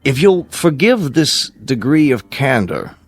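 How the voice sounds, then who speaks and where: An American actor playing a grave, paternal space-program scientist in a radio drama, speaking formally, deliberately and with emphasis. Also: male voice, mid-range voice, very animated. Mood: candid, fatherly. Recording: music behind the voice.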